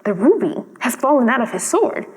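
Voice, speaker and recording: A woman's voice: a little high, very animated, brisk, darkish tone. A woman voicing a pompous, fussy male town mayor in a storybook, full of disapproval. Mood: indignant. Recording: long hall-like reverb.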